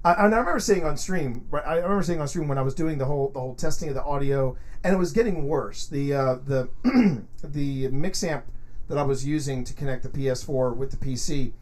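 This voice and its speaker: A man's voice: medium pitch, fairly quick, darkish tone. A man chatting casually as a host, rambling and repeating phrases for emphasis. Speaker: podcast host and game streamer. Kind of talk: casual chat.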